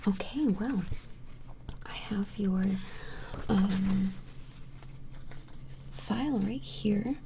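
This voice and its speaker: A woman voicing a flustered, smitten vampire in an ASMR roleplay, whispering hesitantly and apologetically in a breathy voice. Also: mid-range voice, background noise. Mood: flustered, polite. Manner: hesitant, apologetic, halting, softly attentive. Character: flustered, smitten female vampire measuring a strange creature. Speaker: ASMR performer.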